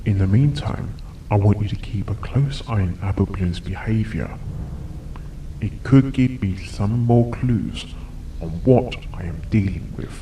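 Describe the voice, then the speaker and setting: A man playing a shaken, earnest doctor pony, grave and deliberate, in an audio drama. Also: fairly low pitch, dark tone, close to an everyday voice. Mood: determined. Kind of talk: audio drama fan fiction acting. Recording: distant mic, faint background noise.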